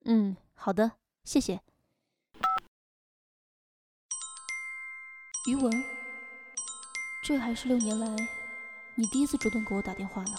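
A woman's voice: fairly high pitch, moderate pace, close to an everyday voice. An actress playing a lovesick young woman in an audio drama, wistful and restrained. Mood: restrained, polite. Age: young adult. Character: lovesick young woman, quietly pining for her friend. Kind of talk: modern Chinese audio drama acting. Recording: music behind the voice.

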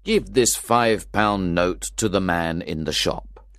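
A man voicing a kind, wealthy older gentleman who speaks warmly and in a measured way in a storybook reading. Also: deep voice, no room sound, clean studio sound. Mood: kindly.

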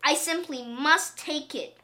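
Woman reading as an eager, bright-voiced very old man. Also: high voice, slow, full character voice. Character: very old man, gentle, eager, simple-hearted husband.

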